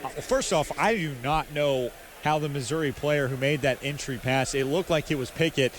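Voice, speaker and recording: A man's voice: a little high, moderate pace. An American man giving conversational, opinionated live basketball analysis as a radio color commentator at courtside. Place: courtside in a sports arena. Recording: live arena broadcast with clear background noise.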